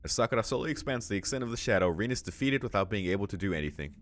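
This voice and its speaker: A man with a fairly low voice hosts a let's play, reading game narration aloud. He breaks off into casual, exclamatory asides as he reacts. Some noise sits behind his voice. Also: animated, rapid-fire. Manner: informal, exclamatory asides between read passages. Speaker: visual novel let's play host.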